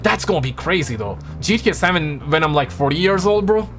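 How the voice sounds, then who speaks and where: A man's voice: a little high, expressive, a little fast, fairly bright tone, faint music behind. A man in his twenties chatting casually on a live stream, slangy and stammering, often repeating words and swearing offhand. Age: 20s. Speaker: streamer reacting to gaming news.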